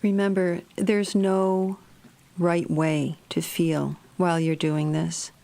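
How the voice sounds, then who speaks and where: A woman narrating a guided meditation in a gentle, measured, soothing manner. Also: on the low side, flat delivery, gravelly.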